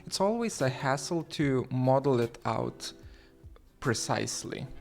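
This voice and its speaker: A man from Lithuania hosting a livestream, talking haltingly with many fillers and restarts as he reads the chat aloud. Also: mid-range voice, lively intonation, creaky, soft background music. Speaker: livestream host.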